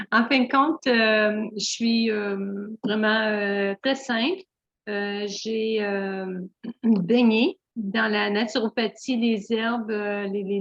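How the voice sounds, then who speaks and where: A middle-aged woman from Northern Ontario with a Franco-Ontarian French accent, a guest telling her life story in a halting, candid way with many fillers and restarts. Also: medium pitch, no echo. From Northern Ontario, Canada. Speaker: guest, naturopath. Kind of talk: hesitant conversational storytelling. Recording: dull muffled lo-fi sound.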